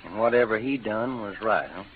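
A man's voice: lowish voice, a little fast, natural voice. Courteous Western gunman on tinny old radio, acted by a man. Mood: gentle, courteous. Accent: Western American. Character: leathery gunman and card player, courteous, laconic cowboy. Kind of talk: 1940s-50s radio western acting. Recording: tinny old radio recording, faint noise.

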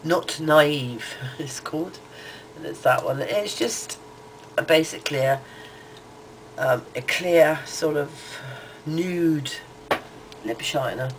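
A woman's voice: low-pitched, slow pace. A middle-aged woman with a cold, sounding nasal and congested, chatting loosely through a makeup haul with plenty of ums. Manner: rambling, hesitant, lots of ums. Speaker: beauty vlogger. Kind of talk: casual makeup haul chat. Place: small cluttered bedroom, indoors. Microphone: webcam close to the face. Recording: noisy home webcam audio.

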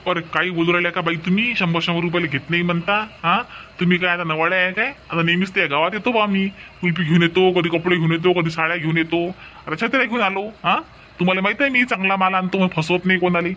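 A man's voice: high voice, steady tone, fast, bright, forceful tone, lo-fi sound, slight background noise. A man voicing a stubborn, haggling street peddler in a cartoon, with a Varhadi Marathi accent. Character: pushy middle-aged male street peddler. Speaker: umbrella seller.

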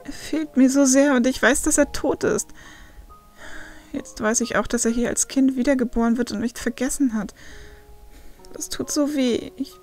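A woman voicing a frightened, guilt-ridden young male werewolf in a story, halting and pleading. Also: medium pitch, level tone, close to an everyday voice. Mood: anguished, grieving. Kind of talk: acted audiobook dialogue. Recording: faint music behind the voice.

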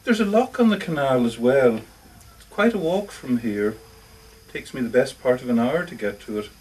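A man's voice: medium pitch, animated, normal speed, darkish tone, distant mic, close to an everyday voice, rough recording. A man reading a short story monologue as a lonely, socially awkward older lodger, confiding, hesitant and defensive, correcting himself as he goes. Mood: rejected, wistful. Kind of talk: first-person short story monologue read aloud. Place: live reading in a bookshop.

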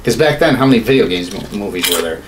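Low-pitched man rambling over room noise. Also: animated, fast. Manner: rambling, frequent swearing. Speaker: podcast co-host. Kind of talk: casual opinionated chat about films and games. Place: small home studio room, indoors. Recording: clear background room noise.

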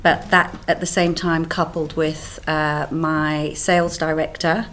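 A candid South African woman in her fifties talks through her career in an easy, chatty manner. Her voice sits on the low side, with a faint hiss behind it. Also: little pitch movement, measured pace, a bit bright. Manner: candid, chatty, laughs easily. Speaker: sales trainer guest. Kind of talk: casual interview answers.

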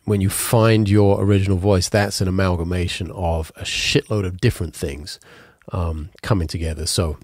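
A man's voice: low voice, fairly even intonation, moderate pace, few pauses, darkish tone, no echo. A man in his thirties, stuffy and congested, hosting a podcast from his home music studio in a rambling, frank way, correcting himself as he goes. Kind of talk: casual solo talk while playing piano. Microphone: studio mic on boom arm, headphones on. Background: his own piano playing.

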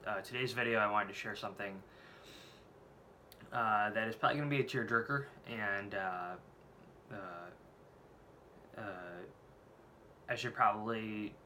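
A man's voice: lowish voice, measured pace, long pauses, pushed and bright. A man in his late twenties with a head cold and a scratchy throat, talking candidly and rambling in a casual vlog, swearing freely, recorded at home on a desk camera. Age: late 20s. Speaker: vlogger. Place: small room at home, indoors.

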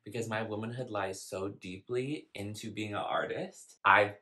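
A young adult woman with a deep voice, hosting a candid casual chat in a halting, confessional, emphatic way. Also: fairly even intonation, sharp tone. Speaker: host, vlogger and podcaster. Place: small room indoors, on a couch.

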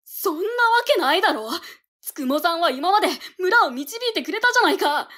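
A woman's voice: very high voice, animated, fairly quick, sharp tone, heavily acted. A woman acting in an audio drama as a timid, devoted village boy, emotional and desperate. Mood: desperate pleading. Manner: pleading, emotional.

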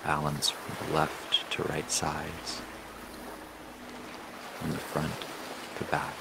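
A man leading a guided meditation in a slow, soothing, measured way with long pauses. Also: extremely low pitch, monotone, gravelly. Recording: loud ambient water noise throughout. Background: flowing water and ocean ambience.

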